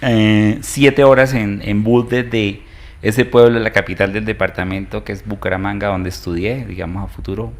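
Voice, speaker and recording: A man's voice: fairly low pitch, steady tone, moderate pace. A Colombian man in his fifties, an entrepreneur and interview guest, telling his story hesitantly with many fillers. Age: 50s.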